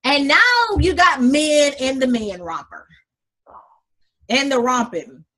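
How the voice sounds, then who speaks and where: A sassy, animated woman with a husky, breathy voice talks in a relaxed, chatty way, sitting somewhat high for a woman. She calls her listeners honey and leans into her points with flair. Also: slow pace. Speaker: podcast co-host. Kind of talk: casual chat.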